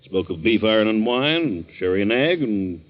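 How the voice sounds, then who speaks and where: An actor playing an easygoing, reassuring family doctor in a 1940s radio drama, casual and avuncular. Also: male voice, lowish voice, animated, normal speed, natural voice. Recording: dull old 1940s radio recording.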